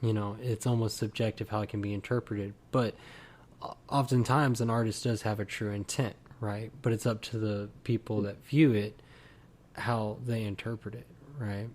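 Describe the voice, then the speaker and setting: Low-voiced male podcast host. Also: moderate pace. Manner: relaxed, chatty, lots of "like" and "you know". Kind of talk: casual interview.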